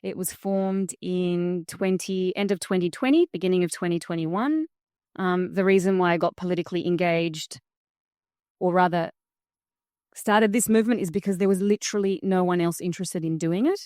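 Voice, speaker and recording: Woman raised in Australia, a bit low, smooth, dry close sound, hesitant casual answers. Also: fairly even intonation, normal speed, a little mellow, no echo. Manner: hesitant, with many fillers. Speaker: panel speaker. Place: conference hall.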